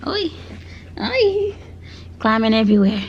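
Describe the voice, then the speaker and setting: Slow-paced mother reading a children's story. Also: a little high, big pitch swings, muffled recording, slight background noise. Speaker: mother reading bedtime stories to her baby. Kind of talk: read-aloud children's storytelling, with asides to a baby.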